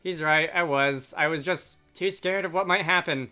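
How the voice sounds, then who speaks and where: A man's voice: on the high side, normal speed, bright, forceful tone. A man voicing an anxious, prickly female fairy from a game in a flustered manner. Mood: relieved. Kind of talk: reading game dialogue aloud. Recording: game music behind.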